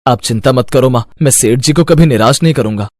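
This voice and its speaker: A man's voice: mid-range voice, brisk, a little mellow, a little hiss or hum, lightly acted. A man voicing an earnest, dutiful teenage son in a cartoon moral story, explaining things reassuringly. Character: earnest, dutiful fifteen-year-old son of poor mother. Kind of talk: animated moral-story dialogue.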